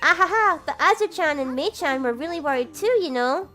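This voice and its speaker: A woman's voice: sits high, animated, fairly quick, sharp tone, faint music behind, voice pushed up. A woman reading a visual novel aloud, voicing a cheerful, chatty young catgirl. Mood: bubbly. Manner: bubbly and chatty. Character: cheerful young catgirl who laughs easily.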